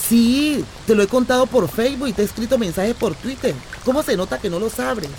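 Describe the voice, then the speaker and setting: Actress as adventurous Colombian woman, restless, affectionate, playful storyteller, radio drama, clear traffic noise behind. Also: fairly high pitch, brisk, darkish tone, lightly acted. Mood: chatty, teasing.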